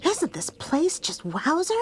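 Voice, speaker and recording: Game role: chirpy young adventurer girl, high voice, wildly swooping pitch, gushing excitement. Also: a little slow. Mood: excited. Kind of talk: video game character acting.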